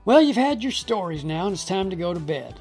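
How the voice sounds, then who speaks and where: A man's voice: sits high, very animated, brisk, darkish tone, soft background music. A man hosting a radio show, telling comic stories in a folksy, mock-solemn way.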